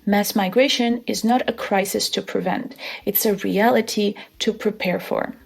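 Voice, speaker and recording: A woman from Armenia in her twenties, talking through an essay video in an earnest, measured way with soft laughs. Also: medium pitch, steady tone, vocal fry, slightly rough. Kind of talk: scripted explainer with personal story.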